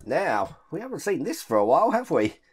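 A man in his fifties with a hoarse, deep, rough voice, casually talking through a model build step by step as a channel host. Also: wildly swooping pitch, brisk. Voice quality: deep rough voice with occasional coughs. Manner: chatty, explains each step while handling parts. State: hoarse, croaky voice after illness. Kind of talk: casual hands-on build walkthrough. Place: home hobby room full of collectibles.

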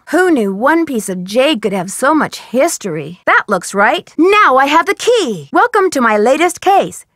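A woman playing a curious, determined young female detective in an adventure video game, articulating her lines clearly and inquisitively. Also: sits high, fairly bright tone, no echo, clean studio sound. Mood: friendly, instructive. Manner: crisply articulated, inquisitive, self-narrating. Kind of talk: scripted adventure game dialogue.

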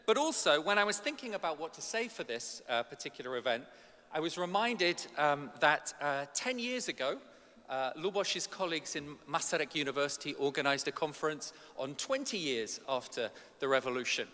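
A middle-aged man delivers an academic lecture in a large reverberant hall. His voice is bright and sits a little high. He hesitates often, filling gaps with ums. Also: animated, moderate pace. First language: English. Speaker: academic conference speaker. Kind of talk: conference lecture. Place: large ornate hall indoors. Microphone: gooseneck podium microphone.

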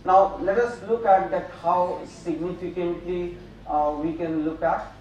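An older man giving a slow, halting keynote lecture at a conference lectern, with many pauses and fillers. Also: sits high, steady tone, a little mellow, far from the mic, muffled recording. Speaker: keynote speaker. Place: large auditorium at a lectern. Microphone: podium microphone, room sound. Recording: echoey hall, voice from afar, faint noise.

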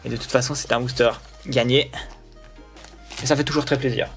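A man's voice: medium pitch, steady tone, brisk. A young man chatting casually with a friend as he opens card packs, rambling and laughing often. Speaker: co-host. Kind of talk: casual chat while opening card packs. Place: small room indoors. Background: faint music.

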